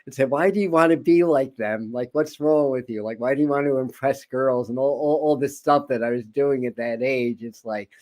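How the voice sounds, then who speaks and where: Male interview host, mid-pitched voice, rambling, repeats words, chuckles. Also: normal speed, few pauses, a little mellow. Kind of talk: casual interview.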